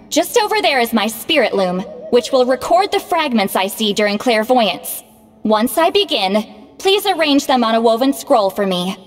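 A proud young shaman, reverberant. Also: on the high side. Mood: confident, instructive. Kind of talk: scripted video game dialogue.

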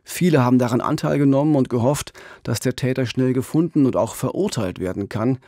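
A man's voice: mid-range voice, a little fast, dark tone, no echo. A middle-aged man hosting a true-crime podcast, telling the story conversationally into a studio microphone. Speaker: podcast host. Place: radio studio. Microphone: studio microphone up close with pop filter.

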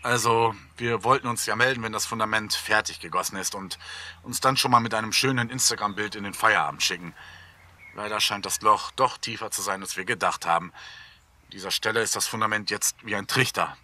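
A man acting as a casual male podcaster in a horror audio play. His voice is very bright and forceful, with a teasing, joking delivery like someone talking to followers. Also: lowish voice, a little fast. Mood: frustrated, resigned. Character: Marius, bantering young male podcaster reporting on a garden hole. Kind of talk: German audio drama acting as casual vlog.

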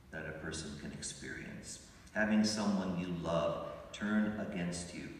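An older man, a priest giving a homily from the pulpit, speaking in measured, reflective phrases and slowing down for emphasis. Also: low voice, a little hiss or hum. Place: large church sanctuary, at a pulpit. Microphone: pulpit mic, recorded by a phone from the pews. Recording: echoey church from far off.

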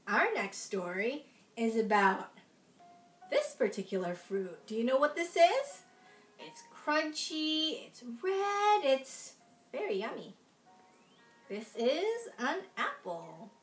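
A young adult woman reading aloud at a children's storytime, expressive and sing-song, with little asides to young listeners. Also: high-pitched, slow, soft background music. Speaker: children's storytime reader in princess costume. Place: small room at home, indoors.

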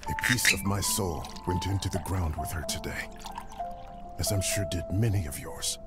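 Deep-voiced grieving uncle, acted by a man. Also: over loud music, natural voice. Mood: mournful, tender. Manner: measured, solemn, tender oratory. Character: grieving proud uncle giving a niece's eulogy. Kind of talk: dramatic game voice acting, funeral speech.

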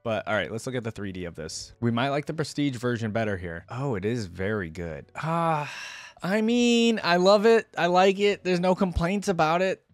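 A man's voice: on the high side, big pitch swings, moderate pace, no echo, faint music behind. A young adult man giving chatty, slangy reaction commentary into a close desk microphone. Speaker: reaction video host. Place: indoor streaming setup. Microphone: close desk microphone with headset on.

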